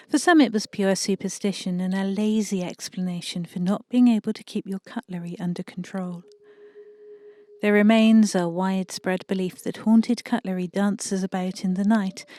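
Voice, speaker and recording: A woman reading a scripted mock-documentary folklore tale in a measured, deadpan way. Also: mid-range voice. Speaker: guest storyteller.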